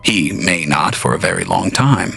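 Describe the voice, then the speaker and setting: A man narrating a scripted audio drama in a slow, solemn, deliberate way. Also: low-pitched, animated, gravelly, bright, forceful tone. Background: faint music.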